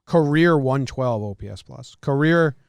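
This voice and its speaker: A man's voice: medium pitch, animated, a little slow, vocal fry. An American man hosting a podcast, talking sports in a casual, conversational way. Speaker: podcast host.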